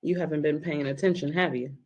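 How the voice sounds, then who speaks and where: A woman's voice: low-pitched, fairly even intonation, brisk, darkish tone. A woman playing a prim, proper older nurse, coaxing but firm, at a table read. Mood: pointed, polite.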